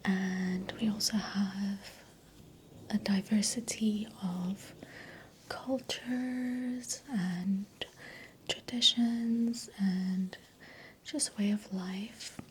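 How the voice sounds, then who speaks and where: A South African woman whose first language is Afrikaans, speaking an ASMR voiceover in a soft, gentle, unvoiced whisper into a close mic that moves from ear to ear. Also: mid-range voice, very slow, slight background noise. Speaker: ASMR creator. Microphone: close sensitive mic, sounds moving ear to ear.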